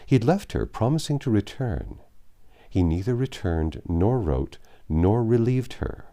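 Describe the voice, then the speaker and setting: Man reading as a deep, sorrowful English gentleman. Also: very animated. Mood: bitter, indignant. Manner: halting, earnest, formal. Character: grave, sorrowful middle-aged English gentleman confessing with restraint. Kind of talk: dramatic audiobook reading of classic novel.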